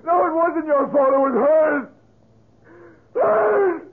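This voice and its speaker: Shrieking, sobbing, hysterical killer. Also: male voice, slow pace, a crowd in the background, heavily acted. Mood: hysterical anguish. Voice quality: shrill, strained. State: crying. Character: hysterical, anguished murderer screaming blame at his parents. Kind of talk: 1970s radio drama acting. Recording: muffled, noisy old radio broadcast.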